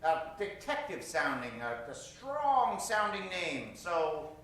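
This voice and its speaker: A theatrical man hosts an improv show from the stage, projecting to the crowd. His voice is bright and animated, heard at a distance in a very echoey theater. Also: sits high, takes it slowly. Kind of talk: improv audience warm-up, gathering suggestions.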